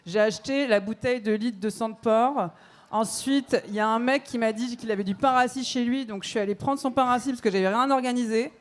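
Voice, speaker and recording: A woman's voice: on the high side, fairly quick. A woman in her thirties from Belgium, chatting casually on a dating show, laughing often and using lots of fillers. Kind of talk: casual chat.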